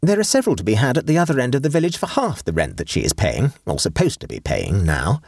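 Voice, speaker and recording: A man's voice: mid-range voice, huge pitch swings, quick pace, vocal fry, no room sound, clean studio sound, voice pushed up, exaggerated voice. A man reading an audiobook and voicing a severe, pompous middle-aged aunt who lectures sternly.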